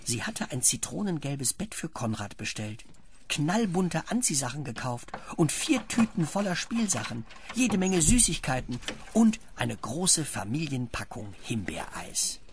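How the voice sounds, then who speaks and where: A man's voice: on the high side, very animated, a little slow, background noise. A man narrating a children's radio play as a scripted storyteller.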